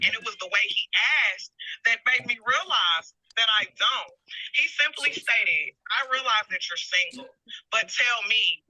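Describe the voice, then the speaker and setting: A woman's voice: on the high side, sharp tone, slightly rough, no echo, rough recording. A woman in her forties reflecting in a confessional, self-recorded monologue. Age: 40s.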